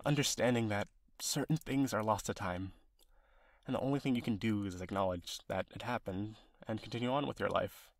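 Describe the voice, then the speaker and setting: A young man playing a friendly, sentimental old friend in an audio roleplay, casual and expressive with some hesitation. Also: fairly low pitch, a little fast, dry recording, clean studio sound, close to an everyday voice. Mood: nostalgic, wistful. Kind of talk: ASMR-style roleplay, one side of a conversation.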